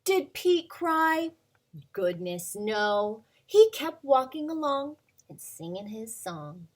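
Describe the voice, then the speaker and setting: Woman, preschool teacher, high bright voice that swoops widely, playful read-aloud for small children. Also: slow. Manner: playful and expressive, asks the listener questions, drops to whispers. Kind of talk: reading a picture book aloud to young children. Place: at home.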